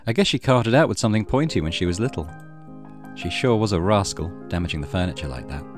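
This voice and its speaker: A man hosts a let's play in a low voice. He reads narration aloud with expressive intonation and comments casually over faint game music. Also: fast talker.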